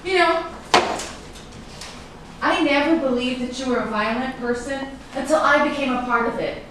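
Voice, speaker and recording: A woman's voice: high voice, normal speed, a bit bright. A woman playing a wronged, defiant partner in a staged drama, accusing and emphatic, in a very echoey room. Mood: hurt, accusing. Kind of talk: staged play reading, dramatic dialogue. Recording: very echoey room, distant mic, faint noise.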